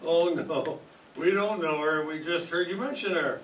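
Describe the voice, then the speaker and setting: Older man doing a grumbling husband, high voice, tense tone, echoey and far-off. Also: moderate pace, fairly bright tone, lightly acted. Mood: wry. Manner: grumbling, hesitant stammers. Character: blustering middle-aged husband, gruff grumbler. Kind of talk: 1940s radio acting. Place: classroom stage. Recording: echoey room from far off, faint noise.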